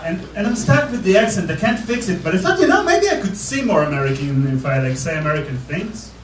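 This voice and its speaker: A man in his thirties with an Israeli accent talks in a fairly high voice that swings up and down a lot. He stumbles and repeats words and often jokes at his own expense. He sounds echoey and far off in a comedy club, with the audience clearly heard behind him. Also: sing-song, background noise. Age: 30s. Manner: halting, repeats words, self-deprecating. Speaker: stand-up comedian. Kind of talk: stand-up set, then interview. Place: live comedy club stage.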